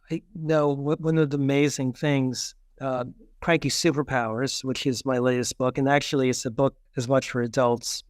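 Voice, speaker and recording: Clear-voiced middle-aged man, halting delivery. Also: mid-range voice, no echo, studio quality. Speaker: guest author. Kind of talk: casual interview talk.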